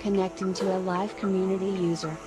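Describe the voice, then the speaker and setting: A woman's voice: mid-range voice, little pitch movement, brisk, over loud music, lightly acted. A woman voicing a neutral phone app assistant, making automated announcements in a game.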